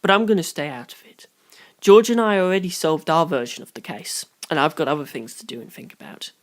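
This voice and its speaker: A reader voicing a polite, reserved young gentleman with a quiet, formal, old-fashioned manner. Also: medium pitch, brisk, natural voice.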